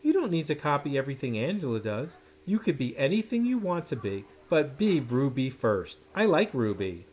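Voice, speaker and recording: A man's voice: medium pitch, very animated, normal speed, hardly any pauses, breathy edge. A man voicing a kindly, cheerful female schoolteacher in a storybook, with an encouraging classroom manner. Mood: gentle. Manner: bright, encouraging classroom delivery.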